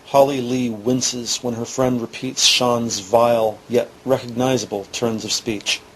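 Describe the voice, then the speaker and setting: A man reading scripted dramatic narration for an audio drama, deliberate and grave. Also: mid-range voice, level tone, slight background noise, low-quality recording.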